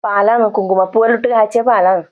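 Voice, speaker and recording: A woman's voice: medium pitch, fairly even intonation, fast talker, phone-quality sound, natural voice. A woman playing a pushy, scolding older mother in a comedy sketch, speaking with a Kannur accent. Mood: pushy, nagging. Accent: Kannur, north Kerala. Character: nagging, colour-obsessed older mother of pregnant woman.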